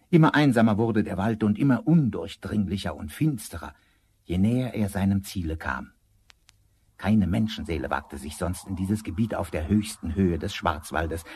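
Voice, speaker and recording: A man narrating a fairy tale as a storyteller in a measured, scripted 1970s audio drama style. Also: sits low, darkish tone, slight background noise. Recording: vinyl record transfer with music.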